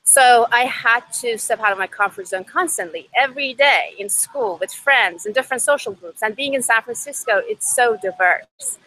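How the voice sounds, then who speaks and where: Bright-voiced young Iranian woman, conversational. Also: a little high, moderate pace, low-quality recording. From Iran. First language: Farsi. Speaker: podcast guest, startup co-founder. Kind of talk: conversational personal storytelling. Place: outdoors by a river. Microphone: video call.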